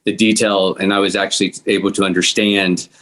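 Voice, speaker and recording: A man's voice: deep voice, little pitch movement, fairly quick, fairly bright tone. A man speaking as a guest in informal, appreciative remarks, rambling a little and encouraging. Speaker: guest actor from a table read.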